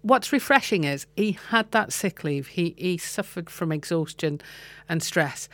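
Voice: medium pitch, animated, measured pace, a bit bright, no echo. A podcast co-host in casual chat, prompting and summarising the other host's points.

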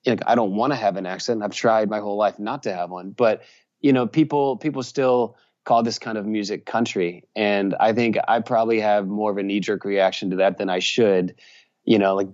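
Brief: man from Virginia, musician, rambling answers, lowish voice, lo-fi sound. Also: a little mellow. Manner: rambling, many fillers and restarts. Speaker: musician and journalist. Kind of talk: casual interview answers.

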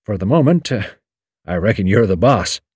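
A man's voice: on the low side, expressive, normal speed, darkish tone, breathy edge, no room sound, clean studio sound. A man voicing a hot-headed, defiant young in an audiobook, blunt and slangy. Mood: grudging. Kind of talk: audiobook character dialogue.

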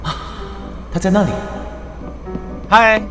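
An actor playing a sweet, attentive young male suitor in a radio drama, friendly and gently teasing, with a Taiwanese Mandarin accent. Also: high-pitched, slow, music in the background. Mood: smitten.

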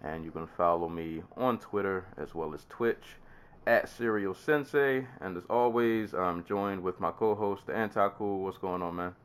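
A man's voice: low-pitched, normal speed, clear, resonant tone. A man hosting a casual podcast while congested with a summer cold, laughing and coughing often. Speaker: podcast host.

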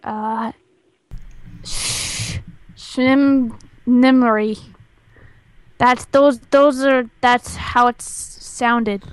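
A woman's voice: fairly high pitch, steady tone, very slow, pauses often, smooth, dry recording, rough recording. A woman playing a shy little girl on a prank call, hesitantly sounding out words she cannot read. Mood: hesitant, confused. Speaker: prank caller. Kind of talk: improvised prank phone call.

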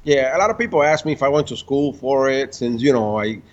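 A man in his fifties, born in the Dominican Republic and raised in Puerto Rico, talking as a car-builder guest in a casual interview, halting and full of restarts. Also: mid-range voice, lively intonation. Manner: halting, many restarts, laughs between stories. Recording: dull lo-fi sound.

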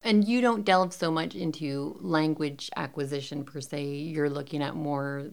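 A woman's voice: low voice, fairly even intonation, unhurried, creaky. A woman interviewer asking curious follow-up questions in a casual conversation.

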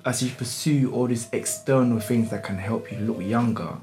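A man's voice: mid-range voice, normal speed, music in the background. A young man, talking candidly in a casual podcast chat, swearing and using street slang.

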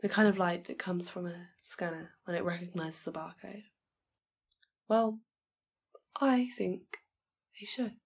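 A woman's voice: lowish voice, measured pace, pauses often, husky. A young woman reading a poem aloud as its poet. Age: young adult. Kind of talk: poetry reading.

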